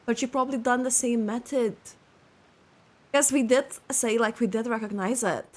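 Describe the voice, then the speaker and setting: A woman's voice: fairly high pitch, fairly even intonation, moderate pace. A woman streaming a live reaction to a game, thinking aloud and exclaiming.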